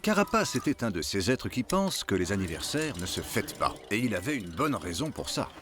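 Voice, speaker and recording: A man narrating a children's cartoon as an expressive, dramatic storyteller. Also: on the low side, brisk, a crowd in the background.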